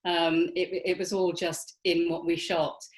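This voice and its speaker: A woman's voice: lowish voice, level tone, unhurried. A woman filmmaker speaking reflectively as a guest in a panel discussion.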